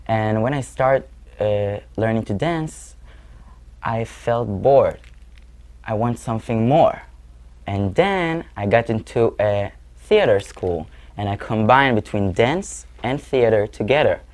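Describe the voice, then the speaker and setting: A man's voice: fairly low pitch, animated, takes it slowly, a little mellow. Young man with an Israeli accent, earnest. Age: 20s. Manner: earnest, searching for words. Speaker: guest musician. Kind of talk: interview answers.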